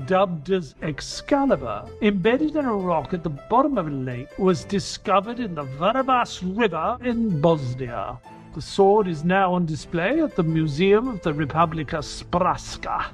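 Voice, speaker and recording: An earnest male companion, played by an actor tells an audio-drama adventure with inquisitive energy. His voice sits a little high for a man and swings animatedly, with some music behind it. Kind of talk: audio drama narration and dialogue.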